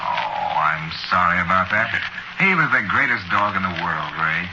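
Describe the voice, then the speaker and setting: A man's voice: low-pitched, very animated, normal speed, gravelly, full character voice. A man playing an easygoing young soldier home from war in a 1940s radio drama, casual and plain-spoken. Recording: muffled, noisy 1940s radio broadcast.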